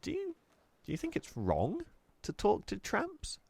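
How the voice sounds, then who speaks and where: A man's voice: high-pitched, huge pitch swings, measured pace, gravelly, a little mellow, no echo, pitched above the performer's own voice, full character voice. A man voicing a nervous young schoolgirl who stammers and hesitates, in a dry recording. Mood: nervous girlish.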